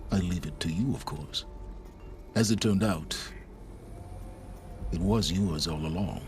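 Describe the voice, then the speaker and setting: An actor playing an enigmatic, calculating scholar who speaks in a measured, wry manner with deliberate pauses. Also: male voice, deep voice, faint music behind. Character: enigmatic scholarly male outcast, calm and calculating.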